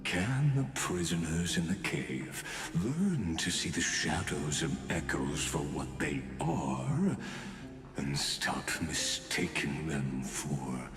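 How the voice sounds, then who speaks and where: A man's voice: extremely low pitch, very animated, takes it slowly, full character voice. A man playing a coldly patient imprisoned genius villain in a video game, speaking in theatrical, pompous monologues. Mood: calm, menacing. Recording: echoing voice with game music behind.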